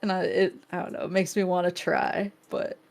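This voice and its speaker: A woman's voice: fairly low pitch, gravelly, darkish tone, faint music behind. A woman guest on a chat show who talks casually, laughing often and restarting her sentences. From New England. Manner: giggly, halting, many restarts. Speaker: guest vtuber.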